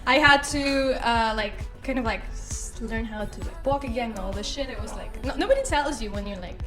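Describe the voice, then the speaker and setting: A woman's voice: on the high side, fairly quick, music in the background. A woman in her twenties from Russia, a guest actor chatting casually, laughing often and trailing off with fillers. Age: 20s. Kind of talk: casual interview chat.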